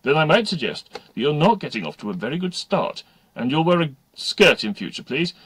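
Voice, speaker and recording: Man acting stern school games teacher, dry sarcasm, condescending, animated storybook delivery. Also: a little high, fairly quick, breathy edge, no room sound, pitched above the performer's own voice, heavily acted. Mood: sarcastic.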